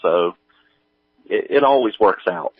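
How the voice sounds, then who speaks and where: A middle-aged man chatting casually as an interview guest, speaking haltingly with many pauses. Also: low voice, lively intonation, breathy edge, no room sound, highs cut off. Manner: halting, hesitant delivery full of pauses. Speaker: guest, audio drama creator.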